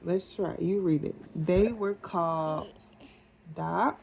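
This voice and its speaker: A mother reading a storybook aloud to her child in a patient, deliberate, encouraging way. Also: lowish voice, vocal fry, a little mellow, slight background noise.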